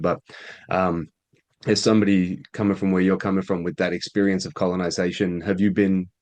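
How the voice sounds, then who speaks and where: A man hosting an interview, asking relaxed, rambling questions full of fillers. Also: low voice. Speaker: interviewer, radio show host. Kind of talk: casual conversational interview.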